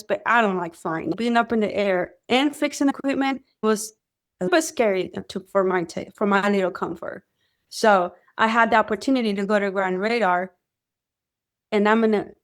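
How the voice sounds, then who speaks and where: Woman telling her story. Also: mid-range voice. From Mexico. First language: Spanish. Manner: animated storytelling, acts out quoted voices. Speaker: radar technician guest. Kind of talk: conversational interview.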